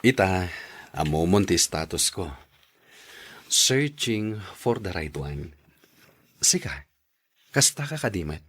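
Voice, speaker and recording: A man with an Ilocano accent playing a flattering, wheedling suitor in a radio drama. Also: sits low, slow, pauses often, exaggerated voice. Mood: flirtatious. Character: Billy, smooth-talking flirtatious male suitor and recruiter. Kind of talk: Filipino radio drama acting.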